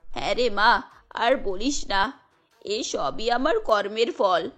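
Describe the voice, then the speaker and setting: A woman voicing a sorrowful, humble old beggar grandmother in a cartoon, with slow, weary, resigned speech. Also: medium pitch, expressive, faint music behind, pitched below the performer's own voice. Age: older.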